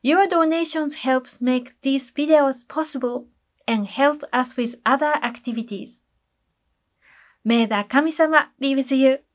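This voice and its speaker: A middle-aged woman with a Japanese accent, a native Japanese speaker, giving a measured, polite explanatory talk to camera as a priest. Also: sits high, no room sound, studio quality. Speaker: Shinto head priest, video host. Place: small indoor curtained backdrop, close dry sound.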